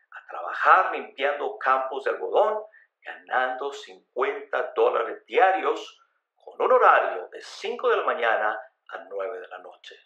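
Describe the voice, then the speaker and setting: Dramatic, bright-voiced male narrator with roomy echo. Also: medium pitch. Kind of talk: dramatic scripted radio narration.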